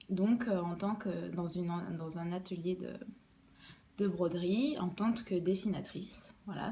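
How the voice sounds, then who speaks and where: A woman's voice: on the low side, a little mellow. Hesitant young woman, faint background hum. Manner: hesitant, many fillers and restarts. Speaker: embroidery designer. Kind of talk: podcast interview, casual explanation.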